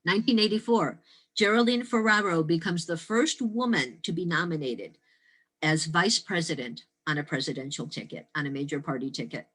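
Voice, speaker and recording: An American woman in her sixties giving a prepared talk as a storyteller, with deliberate, emphatic phrasing and dramatic pauses. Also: fairly low pitch, fairly bright tone, husky. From Detroit, Michigan, United States. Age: 60s. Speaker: storyteller and speaker. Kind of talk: prepared historical talk with personal stories.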